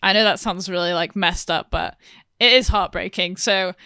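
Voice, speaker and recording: A woman's voice: lowish voice, moderate pace, sharp tone, clean tone, dry recording, studio quality. A woman chatting informally as a panelist in a podcast fan discussion.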